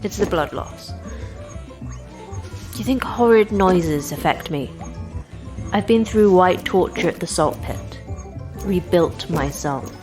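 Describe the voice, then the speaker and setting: Tough defiant survivor, real slow terse talking, sci-fi drama w music under. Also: female voice, fairly low pitch, animated. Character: hardened, defiant tough woman enduring torture. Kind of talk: sci-fi audio drama dialogue.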